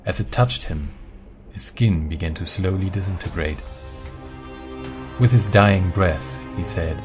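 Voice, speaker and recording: Male narrator, very low voice, scripted dramatic fantasy storytelling over prominent music. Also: unhurried.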